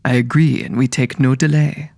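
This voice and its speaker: A man's voice: mid-range voice, fairly even intonation, normal speed, gravelly, a little mellow, no echo. A man voicing a dignified, poetic zebra with formal, sing-song phrasing in an audio drama. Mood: solemn.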